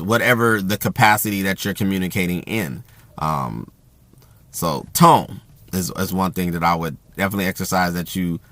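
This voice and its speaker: Low-voiced man with even, steady delivery. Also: no room sound. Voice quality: loud voice that carries. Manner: even-keeled, self-described monotone delivery; rambling with frequent fillers and restarts. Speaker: host. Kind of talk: casual monologue on communication.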